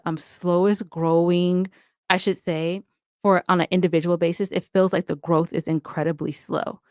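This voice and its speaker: A woman with a slightly low voice talks casually as a guest on a podcast. Her delivery is emphatic and motivational, with frequent little check-ins to the listener. The sound is very dry, with no room echo. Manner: emphatic, motivational, often adds "right?". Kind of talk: casual interview chat.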